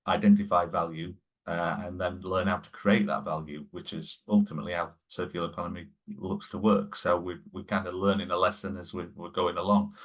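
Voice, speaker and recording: A man speaking as a podcast guest in a loose, rambling way with many fillers and restarts. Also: low-pitched. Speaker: guest, sustainability lead. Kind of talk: conversational podcast interview.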